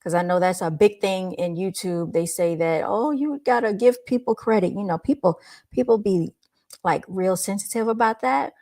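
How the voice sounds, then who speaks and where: A woman's voice: medium pitch, normal speed, warm tone. A woman with a light voice hosting a livestream, chatting informally with her viewers into a microphone. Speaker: livestream host. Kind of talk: casual livestream chat with viewers. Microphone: speaking into a microphone.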